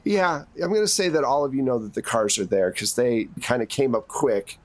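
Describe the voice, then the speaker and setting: Male GM and host, mid pitch, dry recording, casual improvised tabletop narration. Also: normal speed, no room sound. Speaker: game master and host.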